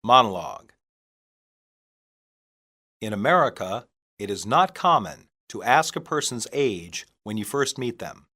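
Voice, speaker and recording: A man reading scripted English practice phrases for learners, deliberately and in separated phrases. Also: lowish voice, big pitch swings, pushed and bright. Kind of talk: scripted language-lesson reading.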